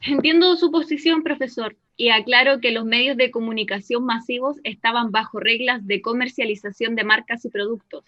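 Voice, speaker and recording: An earnest, defensive woman journalist in a radio drama, speaking by remote link. Her voice is fairly high and sharp, argued firmly through slightly muffled sound. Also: steady tone, normal speed, few pauses. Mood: earnest, resigned. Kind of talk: radio play as live call-in interview. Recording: dull, band-limited remote link.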